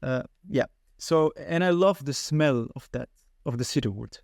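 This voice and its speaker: A man's voice: mid-range voice, moderate pace, vocal fry, darkish tone, dry recording, studio quality. A man from Lebanon hosting a podcast, speaking hesitantly with many fillers in a casual interview chat. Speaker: podcast host.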